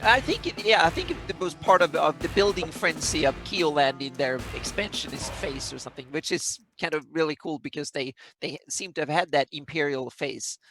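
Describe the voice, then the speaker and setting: Male co-host, slightly high bright voice, dry sound, agreeable, thinks aloud. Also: animated, moderate pace, hardly any pauses, soft background music. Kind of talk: casual chat.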